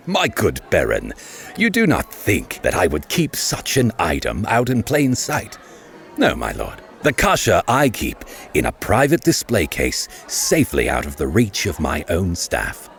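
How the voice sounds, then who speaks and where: Male for a haughty aristocrat: very low, creaky voice, pompous formal delivery, fantasy audiobook. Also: wildly swooping pitch, measured pace, heavily acted. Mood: smug, guarded. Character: haughty wealthy lord, boastful and suavely courteous. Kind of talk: dramatised fantasy audiobook acting.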